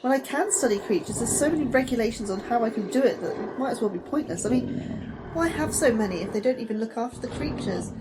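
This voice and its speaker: Woman playing a fed up science officer girl, higher voice, sarky n anxious, noisy room sounds behind her. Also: expressive, fast talker, lightly acted. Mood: exasperated. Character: frustrated, anxious female junior science officer. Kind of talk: audio fiction drama acting.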